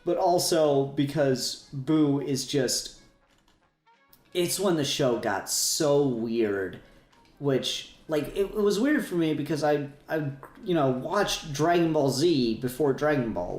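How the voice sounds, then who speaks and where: A man's voice: on the high side, expressive, takes it slowly, darkish tone, roomy sound, soft background music. A man hosting a casual fan podcast, talking in a rambling, enthusiastic way and swearing casually. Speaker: podcast host.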